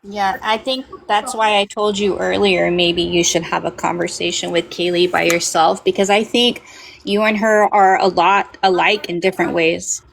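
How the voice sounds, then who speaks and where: A woman's voice: on the low side, fairly even intonation, moderate pace, vocal fry, poor audio. A woman, a mother, speaking earnestly on a livestream as a guest, often hesitating and repeating words. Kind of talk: casual livestream talk.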